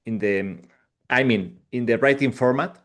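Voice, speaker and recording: A man hosting an interview and asking a question haltingly, pausing often to search for the words. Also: medium pitch, fairly bright tone. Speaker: podcast host.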